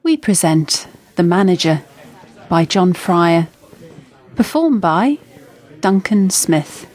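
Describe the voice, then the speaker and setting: A woman's voice: fairly low pitch, big pitch swings, slow pace, a little mellow. A woman formally announcing a radio play from a script.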